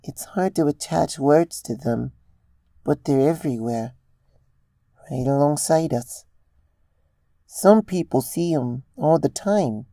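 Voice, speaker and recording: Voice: medium pitch, takes it slowly, creaky, pauses often, mellow, no room sound, pitched above the performer's own voice. A narrator voicing an adult female pony, a mother who confides in a hesitant, earnest way, in a story reading. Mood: hesitant, confiding.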